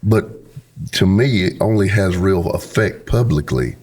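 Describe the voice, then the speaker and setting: A middle-aged pastor, hoarse, hosting a casual talk show in an earnest, preacherly way. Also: male voice, deep voice, unhurried, darkish tone. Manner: earnest and preacherly, with homespun sayings. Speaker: pastor and podcast host. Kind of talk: casual conversation, closing a podcast episode.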